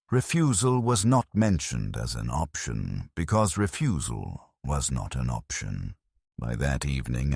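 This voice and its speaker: A man narrating a scripted first-person story in a grave, measured way. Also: a bass voice, dry recording, studio quality.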